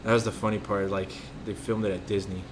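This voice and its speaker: A man's voice: fairly low pitch, level tone, fast. A man hosting a podcast, rambling and swearing in casual chat, often losing his train of thought. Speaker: podcast host. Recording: faint background noise.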